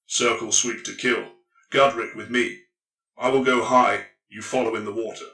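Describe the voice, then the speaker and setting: A man performs an armored space marine commander from a grimdark audiobook. He barks clipped orders loudly and forcefully, through a processed voice filter. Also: fairly low pitch, fairly even intonation, normal speed, roomy sound. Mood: commanding. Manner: barked, clipped military orders.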